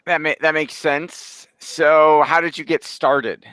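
A man's voice: a little high, fairly even intonation, slow, bright, forceful tone, clean tone, no room sound. A man hosting an interview podcast, eager and complimentary with his guest. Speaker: host.

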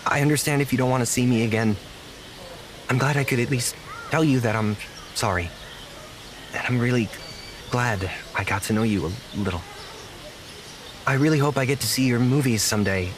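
An actor playing a naive, apologetic young zookeeper in an audio drama, speaking earnestly with halting stammers. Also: male voice, medium pitch, slight background noise, natural voice. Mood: pleading, sorry. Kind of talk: naturalistic audio drama acting.

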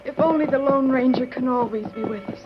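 A woman's voice: high voice, fairly quick, close to an everyday voice. An actress playing an earnest, admiring frontier lady in an old-time radio western. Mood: grateful, wistful. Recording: dull old radio, music behind.

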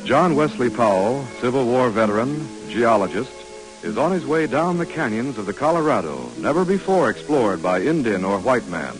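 A man's voice: mid-range voice, very animated, moderate pace, low-quality recording. A man narrating a scripted drama in 1940s radio style. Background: music.

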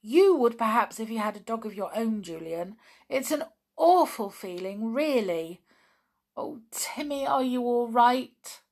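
A woman's voice: mid-range voice, sing-song, a little slow, a bit bright, husky, heavily acted. A woman voicing a worried, stubborn young tomboy girl in a storybook, speaking in an emphatic, pleading way. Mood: distressed, wailing.

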